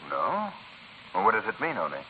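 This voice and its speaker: An actor playing a calm, amused, wealthy young man about town in 1940s radio style. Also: on the low side, moderate pace. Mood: inquiring. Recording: tinny, noisy 1940s radio recording.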